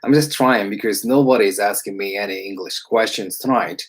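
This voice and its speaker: A low, husky man answers viewers' questions in a livestream. He explains in halting bursts as he thinks aloud, with plenty of fillers along the way. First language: Japanese. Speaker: English teacher.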